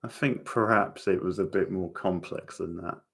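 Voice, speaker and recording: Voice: low-pitched, moderate pace, vocal fry, fairly bright tone. A watchalong host giving casual live reaction commentary in sparse, offhand remarks.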